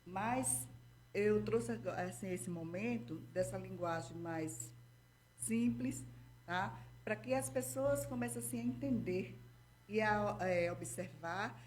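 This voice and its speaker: Brazilian woman therapist, didactic interview guest, mid pitch, faint hum. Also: takes it slowly, a little mellow. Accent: Brazilian Portuguese. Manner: didactic, checks in with frequent "tá?" and "entendeu?". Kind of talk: explanatory interview talk.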